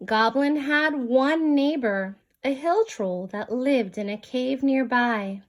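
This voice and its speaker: High-voiced, unhurried woman reading a story. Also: expressive. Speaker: storybook reader. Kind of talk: expressive children's story narration.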